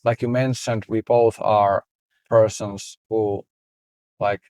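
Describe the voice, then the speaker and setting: Slow-talking Finnish man, low voice. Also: flat delivery, a little mellow, clean tone, dry recording. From Finland. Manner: unhurried, many "like" fillers. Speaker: podcast co-host, startup founder. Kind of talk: casual conversation.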